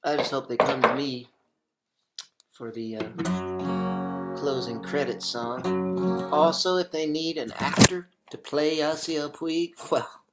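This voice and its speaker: A man hosting a casual stream, rambling in a halting way with many fillers and restarts. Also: fairly high pitch, fairly even intonation, loud music behind the voice. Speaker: singer-songwriter hosting a home stream.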